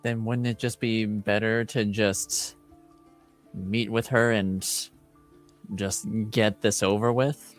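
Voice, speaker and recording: A man acting as game master, improvising narration for a tabletop roleplaying game with hesitant, halting phrasing. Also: fairly low pitch, fairly even intonation, faint music behind.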